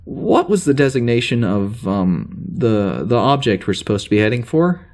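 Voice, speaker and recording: A flustered spaceship security officer, acted by a man, dictates his log in a middling male pitch. He rambles and hesitates, unsure of himself, in the natural style of a sci-fi audio drama. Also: big pitch swings, darkish tone, lightly acted. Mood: puzzled, uneasy. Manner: halting, rambling, full of hesitations and sighs. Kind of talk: audio drama, informal spoken log entry.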